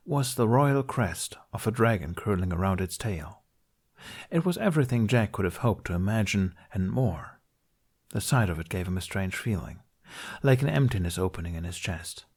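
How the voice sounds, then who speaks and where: A man's voice: lowish voice, darkish tone. A man reading a fantasy story aloud as a scripted audiobook-style narration. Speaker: podfic narrator reading fan fiction.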